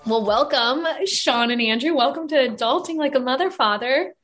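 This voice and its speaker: A raspy, hoarse woman in her thirties, co-hosting a podcast in casual chat and filling her talk with "like". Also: high voice, normal speed, over music. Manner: chatty, full of "like" fillers. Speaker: podcast co-host.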